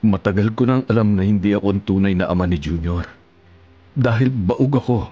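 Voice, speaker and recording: An actor playing a gentle, devoted older widower husband in a Filipino radio drama, speaking in a tender, measured way. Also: male voice, fairly low pitch, breathy edge, highs cut off. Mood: tender, forgiving.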